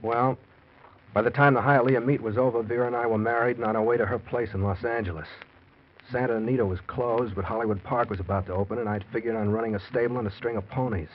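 An actor playing a forty-year-old gambler and pulp writer in a 1940s radio drama, wry and hard-boiled. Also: male voice, medium pitch, steady tone, quick pace, close to an everyday voice. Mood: wry confessional. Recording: noisy muffled old radio recording.

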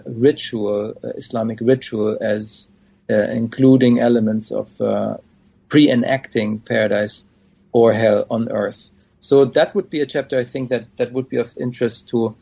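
A man, a scholar being interviewed, answering in a hesitant, thoughtful way with frequent pauses. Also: medium pitch, creaky, dark tone, slightly rough. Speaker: scholar of Islamic studies, guest. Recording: dull lo-fi audio.

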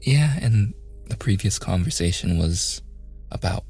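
A man playing a shy, awkward young man in a boyfriend ASMR roleplay, softly stammering and often slipping into whispers. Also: low voice, expressive, unhurried, gravelly, slightly rough, faint music behind, full character voice. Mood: flustered, smitten. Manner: hesitant, stammering, self-deprecating joking.

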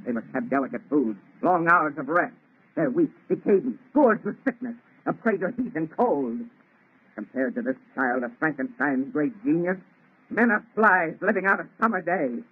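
An actor playing an arrogant, obsessed scientist in an old radio melodrama, declaiming theatrically in a husky voice. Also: male voice, a little high, expressive, normal speed. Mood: exultant, grandiose. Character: arrogant, feverishly obsessed scientist creator of a monster. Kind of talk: 1930s radio melodrama acting. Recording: tinny, band-limited old radio recording.

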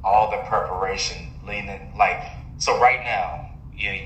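In a roomy, echoing recording with faint noise, a man with a lower-set, bright and forceful voice hosts an interview. He puts casual questions to his guest in a conversational style. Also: unhurried, highs cut off. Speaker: podcast host and interviewer. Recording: very echoey room with faint noise.

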